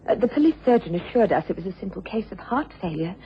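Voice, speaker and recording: A woman's voice: medium pitch, lively intonation, fast, natural voice. Casting: woman playing devoted, anxious wife with a secret, earnest radio mystery acting, dulled noisy recording. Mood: guarded. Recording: muffled radio recording with background noise.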